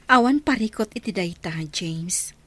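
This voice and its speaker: A woman playing a soft-hearted, respectful younger woman in an Ilocano audio drama, with an Ilocano accent. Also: a little high, expressive, slow pace, breathy edge, heavily acted. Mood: shy, friendly. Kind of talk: radio drama acting.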